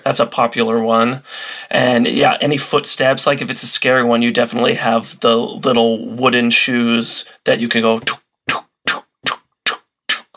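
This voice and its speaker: A man talks as a guest on a podcast in a medium-pitched voice. His delivery is casual and animated, and he readily slips into little acted-out bits as he explains things. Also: moderate pace. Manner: animated, acts out little quotes and sound effects. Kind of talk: casual chat.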